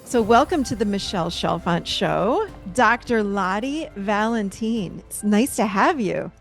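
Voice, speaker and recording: A woman's voice: mid-range voice, animated, measured pace, vocal fry, faint music behind. A woman hosting her podcast show, welcoming a guest with enthusiastic exclamations. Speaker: podcast host. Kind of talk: interview host chat.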